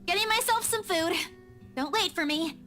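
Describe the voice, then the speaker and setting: A woman voicing a troubled, evasive young friend in a video game, reassuring and a little halting. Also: very high voice, sharp tone, faint music behind. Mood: subdued, evasive.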